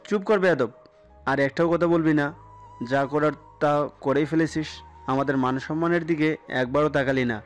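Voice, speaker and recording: A man's voice: fairly high pitch, normal speed, faint music behind, lightly acted. Man acting as stern, scolding angry father. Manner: scolding, commanding.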